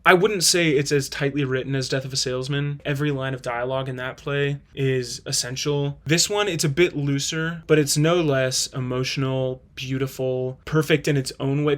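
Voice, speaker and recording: A man's voice: medium pitch, fairly bright tone. A man hosting a video, giving earnest, casual, conversational commentary. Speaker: host of a play-ranking video.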